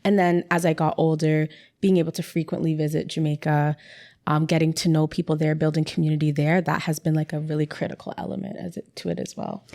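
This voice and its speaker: A young woman talks through a relaxed interview in a lowish voice. Her speech is loose and chatty, full of "like" fillers and repeated words. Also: fairly quick, gravelly. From Canada. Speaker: interview guest, writer. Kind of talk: casual conversational interview.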